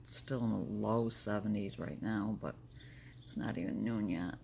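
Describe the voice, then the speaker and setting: An older woman with a deep, gravelly, smoker-like morning voice, tired and short on sleep, talking candidly in a rambling personal video diary. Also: moderate pace, dark tone, a little hiss or hum. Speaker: vlogger.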